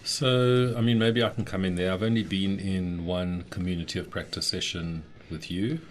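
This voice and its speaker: A man's voice: low-pitched, fairly even intonation, normal speed, a little hiss or hum. A man, the company's managing director, joining a studio podcast interview and asking the guest questions in a direct, conversational way. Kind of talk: interview chat.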